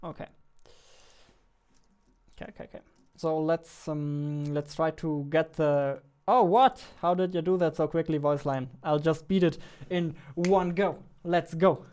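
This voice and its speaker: A man in his twenties, a game developer chatting playfully with his viewers while playing, on a studio mic in a small dark room. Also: fairly high pitch, unhurried, smooth. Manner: playful, excitable, talks back to the chat. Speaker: game developer streaming his daily devlog. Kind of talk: casual live-stream chat while playing. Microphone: studio mic on a boom arm, wearing headphones.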